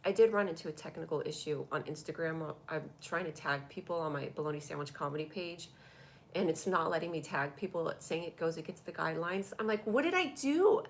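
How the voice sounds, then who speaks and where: A woman's voice: low voice, lively intonation, brisk. A woman with a cold and a stuffy voice, hosting a comedy live stream and chatting through viewer comments on a tablet. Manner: chatty and rambling, reacting to comments. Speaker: comedy show host doing a live stream.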